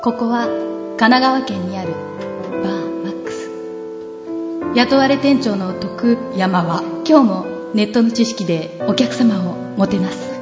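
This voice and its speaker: A woman's voice: medium pitch, measured pace. A woman co-hosting a radio show in casual comic chat. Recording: roomy muffled audio, loud music.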